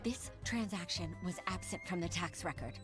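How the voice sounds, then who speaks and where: A woman's voice: lowish voice, moderate pace, lightly acted. A woman voicing an eager young female clerk in a video game, speaking over game music.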